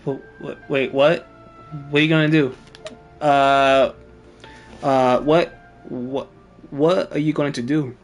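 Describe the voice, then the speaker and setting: Slow, rambling male game streamer. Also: medium pitch, fairly even intonation, faint music behind. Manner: rambling, repetitive. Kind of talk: casual livestream chat, game commentary.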